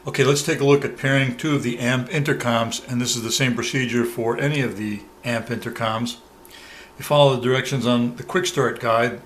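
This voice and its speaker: A man presenting a hands-on product tutorial step by step, pausing mid-sentence as he demonstrates. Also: medium pitch. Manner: halting, pauses mid-sentence while demonstrating. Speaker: product reviewer giving a demonstration. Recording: clear background noise, room ambience.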